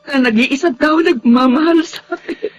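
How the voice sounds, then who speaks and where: An actress playing a lonely, grieving daughter in a radio drama, tearful, halting and pleading. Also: female voice, sits high, slight background noise, natural voice. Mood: sobbing, despairing. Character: grieving lonely daughter who cared for her sick mother. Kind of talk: Tagalog radio drama acting. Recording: dull lo-fi radio drama sound.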